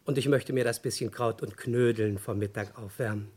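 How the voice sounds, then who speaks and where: A man's voice: mid-range voice, steady tone, normal speed, a little mellow, breathy edge, lightly acted. An actor playing a long-winded, over-polite, cheerfully oblivious nosy male neighbour in a comic radio play. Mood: chatty, over-polite. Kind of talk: comic German crime radio play, 1950s-80s.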